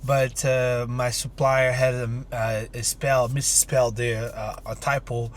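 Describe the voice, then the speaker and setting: A man's voice: mid-range voice, level tone, a little slow, a little hiss or hum. A man, chatting casually to a phone camera as an entrepreneur vlogger, rambling and upbeat with lots of fillers and restarts. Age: 30s. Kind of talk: casual vlog talk to camera.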